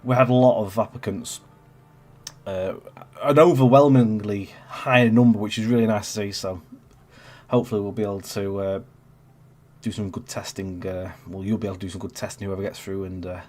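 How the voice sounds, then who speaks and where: A man's voice: on the low side, fairly even intonation, brisk, darkish tone. Congested man hosting a stream. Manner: rambling, chatty, with many fillers and restarts. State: congested with a cold. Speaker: stream host. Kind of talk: casual gameplay livestream chat.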